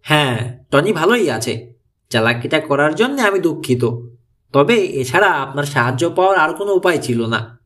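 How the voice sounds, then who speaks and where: A man's voice: on the high side, big pitch swings, normal speed, fairly bright tone. Man voicing clever, composed teenage boy detective. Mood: earnest, reassuring. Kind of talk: audiobook character dialogue.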